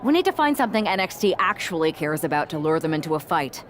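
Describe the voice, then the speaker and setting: A woman's voice: sits low, sing-song, fast, a little hiss or hum. An actress playing a determined, commanding female wrestler in a video game.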